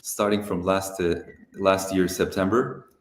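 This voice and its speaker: A young man from Turkey, a software founder, talking casually as a guest on a live video call, relaxed and hesitant with many fillers. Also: low voice, unhurried, roomy sound. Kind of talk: casual interview over a live stream.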